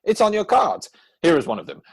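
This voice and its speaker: A man acts out a witty, idle young gentleman of Victorian stage comedy. His delivery is arch and theatrical, swooping up and down in pitch with a husky edge. He teases and pries in elaborate, formal sentences. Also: huge pitch swings, fairly quick, full character voice. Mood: teasing, accusing. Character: witty, idle young English dandy, playfully prying bachelor. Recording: home recording over video call.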